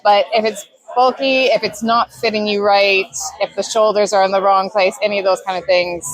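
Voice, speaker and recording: A woman's voice: mid-range voice, fairly even intonation, moderate pace, dry recording, low-quality recording. A woman in her thirties with a Canadian accent, chatting animatedly as an interview guest and laughing often. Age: 30s. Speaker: guest adventure athlete. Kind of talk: casual interview chat. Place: festival marquee.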